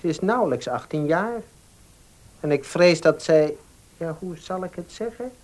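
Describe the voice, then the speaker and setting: A formal, cautious baker. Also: male voice, on the high side, expressive, a little slow, a little mellow. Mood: cautious, protective. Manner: formal, deferential, hesitant. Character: polite, cautious baker, protective older brother. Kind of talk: Dutch radio play acting.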